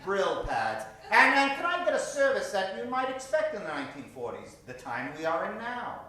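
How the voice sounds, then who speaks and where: Male improv stage host: bright, projecting showman with big pitch swings, working the audience. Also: huge pitch swings, moderate pace, faint music behind. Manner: theatrical showman, projecting to a crowd. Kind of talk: improv audience warm-up, gathering suggestions. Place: live theater stage. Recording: very echoey theater, distant mic.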